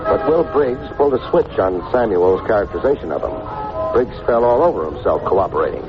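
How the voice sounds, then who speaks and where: A man's voice: medium pitch, lively intonation, a little fast, loud music behind the voice, lightly acted. An American man playing a blunt, sardonic private detective in an old-time radio drama, tough-talking and wisecracking. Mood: brisk, probing. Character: hardboiled, sardonic, pushy male private detective. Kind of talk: old-time radio detective acting with first-person narration. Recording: noisy, muffled vintage radio recording.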